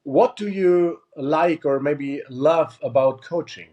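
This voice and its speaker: A man's voice: a little high, slow, dark tone. Male German host, interviewing in English, friendly and hesitant, dull recording. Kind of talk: casual interview questions. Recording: dull lo-fi sound.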